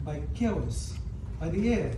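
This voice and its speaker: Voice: medium pitch, sing-song, slow. A performer playing an imperious, exasperated male philosopher in a staged reading of an ancient comedy, commanding and declamatory. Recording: echoey room, distant mic, clear noise.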